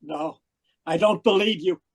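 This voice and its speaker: A man's voice: sits high, measured pace, breathy edge, dry recording, clean studio sound, exaggerated voice. A man playing an anguished, deluded murderer in an old-time radio drama, pleading and melodramatic. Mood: disbelieving, desperate. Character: anguished, deluded male murderer convinced he is dead.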